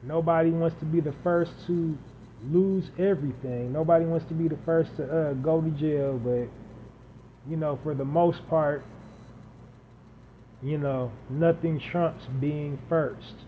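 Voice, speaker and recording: A man's voice: on the high side, fairly even intonation, a little slow. A man hosting a solo podcast, talking unscripted and building points through emphatic repetition and lists. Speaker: podcast host. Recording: dull lo-fi audio with faint hiss.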